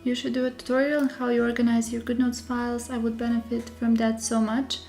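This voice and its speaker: A woman from Serbia with a Serbian accent, slightly under the weather, chatting casually and haltingly as she hosts a live tutorial. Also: on the high side, flat delivery, darkish tone, faint music behind. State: slightly unwell with a sore throat. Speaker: digital journaling creator hosting a live stream.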